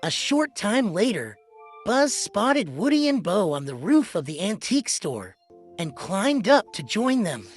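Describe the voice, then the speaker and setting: Expressive woman reading a storybook over music. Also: mid-range voice, unhurried. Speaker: storybook narrator. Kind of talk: scripted children's read-along narration. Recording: music behind the voice.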